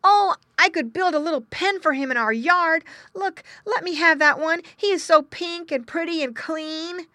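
A woman voicing an eager, excitable young boy in a children's storybook, gushing and pleading. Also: extremely high pitch, moderate pace, hardly any pauses, dry recording, studio quality, pitched above the performer's own voice.

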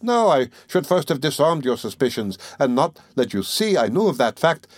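A man's voice: mid-range voice, very animated, normal speed, no room sound, voice pushed up. A man voicing a sly, falsely jovial middle-aged examining lawyer in an audiobook, teasing and ironical. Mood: needling. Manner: teasing, chuckling, wheedling, ironical. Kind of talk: dramatic audiobook character reading.

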